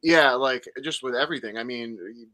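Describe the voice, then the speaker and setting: A man's voice: medium pitch, brisk, sharp tone. A man co-hosting an online talk show, asking eager, rambling questions full of fillers. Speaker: co-host. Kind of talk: casual interview talk.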